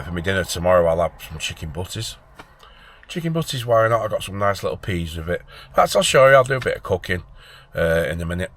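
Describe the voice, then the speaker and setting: A man's voice: low voice, fairly bright tone. A man in his late forties with a Northern English accent, rambling informally and thinking aloud as he chats to viewers in an unscripted vlog. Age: late 40s. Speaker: van-life vlogger. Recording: noisy, traffic and vehicle ambience. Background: traffic and vehicle noise.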